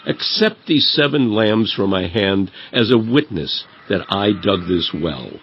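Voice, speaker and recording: Slow, dignified old patriarch. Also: male voice, fairly low pitch, animated, lo-fi sound. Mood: solemn. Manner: grave, deliberate. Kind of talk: dramatized audio Bible acting.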